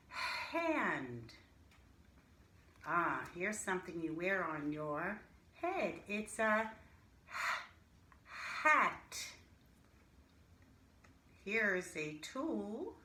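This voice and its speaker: A woman teacher leading an interactive alphabet lesson for young children, speaking deliberately in a sing-song way and addressing pupils directly with pauses. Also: mid-range voice, very animated, pushed and bright. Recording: echoey room with light background noise.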